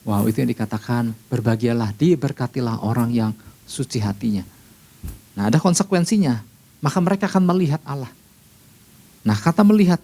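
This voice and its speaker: A male pastor teaches in a relaxed, mellow voice with a creaky edge. His pitch sits in the middle for a man. He explains patiently in a conversational sermon style, restating key phrases and checking in with his listeners.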